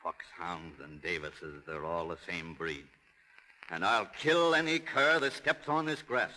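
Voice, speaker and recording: Very deep menacing backwoods feuder. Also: male voice, measured pace. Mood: threatening. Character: menacing rural feuding man with foxhounds. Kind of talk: 1930s radio acting. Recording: noisy, echoing old radio recording.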